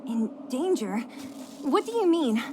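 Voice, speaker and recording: A woman voicing a brash, protective young female fighter in a video game, blunt and expressive. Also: high voice, unhurried, heavy background noise. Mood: alarmed. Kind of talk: video game cutscene voice acting.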